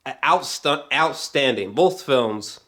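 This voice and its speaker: A man of medium pitch delivers an unscripted, casual reaction as a film vlogger. He is excitable and emphatic, rambling through halting thoughts, doubling back on phrases and swearing freely.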